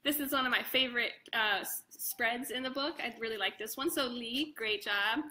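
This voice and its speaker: Woman, high-pitched, bright forceful tone, children's author read-aloud, expressive, informal asides. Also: normal speed. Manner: expressive, addresses young listeners directly; casual, informal commentary between passages. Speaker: children's author reading own book aloud. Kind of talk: animated picture-book read-aloud with chatty asides.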